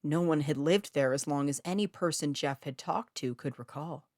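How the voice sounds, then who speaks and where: A woman's voice: sits low, moderate pace, a little mellow, no echo, studio quality. A woman reading her own eerie short story aloud as scripted narration.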